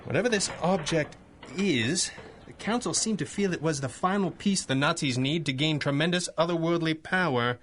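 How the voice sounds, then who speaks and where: An actor playing a commanding masked hero in a 1930s-style radio drama, speaking in a formal, dryly wry manner. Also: male voice, a little high, moderate pace, a bit bright, a little hiss or hum, lightly acted. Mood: grave, brooding.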